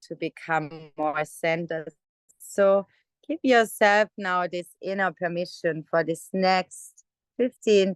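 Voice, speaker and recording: A woman leading a guided meditation in a gentle, unhurried manner with many pauses. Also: on the low side, clean tone, no room sound. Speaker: meditation teacher. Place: online video call session.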